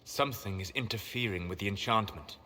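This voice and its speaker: An actor playing a calm, scholarly mage in a video game, with precise, formal speech. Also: male voice, fairly low pitch, brisk. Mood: calm, explanatory. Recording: long reverberant hall, faint noise.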